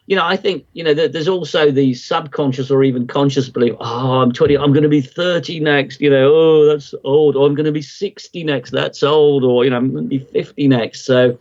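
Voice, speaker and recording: A man's voice: medium pitch, darkish tone, lo-fi sound. Middle-aged man explaining market cycles. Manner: reflective, rambling with restarts. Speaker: guest market analyst. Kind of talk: podcast interview, explaining.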